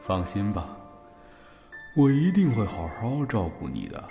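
Guy doing a teasing husband, very low voice, audio drama, music under it, flirty. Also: huge pitch swings, unhurried, big-room sound, full character voice. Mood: doting.

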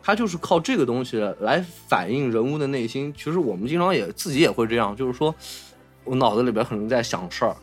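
A man's voice: mid-range voice, lively intonation, fast, breathy edge. Man explaining film over background music. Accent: Mainland Chinese Mandarin. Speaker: podcast host. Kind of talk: casual film discussion.

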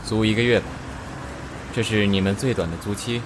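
Man acting a low-voiced, curt official. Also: steady tone, a little fast, background noise, natural voice. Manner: curt, matter-of-fact. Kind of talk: Chinese sci-fi radio drama acting.

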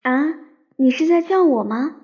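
A woman playing a young, romantic, dreamy student in a radio drama, telling her love story in a soft, sentimental, unhurried way. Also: high-pitched, lively intonation. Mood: shy. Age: young adult. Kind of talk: radio drama narration and dialogue. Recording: dull lo-fi recording with piano music. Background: piano music.

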